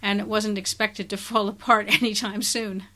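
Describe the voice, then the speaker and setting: Bright-voiced woman podcast host. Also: mid-range voice, level tone, moderate pace. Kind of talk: conversational interview.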